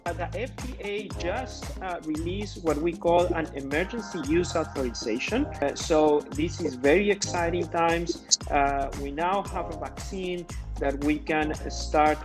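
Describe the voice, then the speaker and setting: A man in his forties with a Peruvian Spanish accent, explaining things in halting phrases as a doctor being interviewed. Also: fairly high pitch. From Peru. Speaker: interview guest, infectious diseases doctor. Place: indoor room, video call. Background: music.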